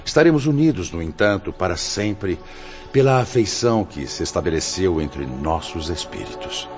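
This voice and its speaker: A man acting a kindly, solemn spirit mentor in a radio drama, speaking in a measured, formal, fatherly way. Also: low-pitched, animated, over music. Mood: tender, fatherly. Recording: muffled audio with faint noise.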